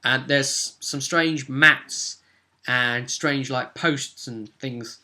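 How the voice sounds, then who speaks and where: A man's voice: medium pitch, steady tone, drawn-out words, bright, forceful tone. A man working as game master, recapping a tabletop role-playing session in an informal, rambling storytelling way.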